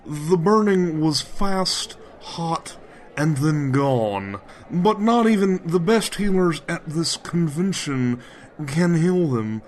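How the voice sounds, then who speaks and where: An actor playing a formal, calculating magician in a comedic fantasy audio drama, with measured and deliberate phrasing. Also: male voice, a little high, animated. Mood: smooth, formal. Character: formal, calculating male magician offering a deal.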